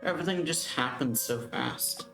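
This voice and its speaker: A man's voice: on the high side, moderate pace, gravelly, fairly bright tone. A man giving casual, laid-back gameplay commentary in short murmured reactions, as a let's play streamer. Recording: game music playing behind.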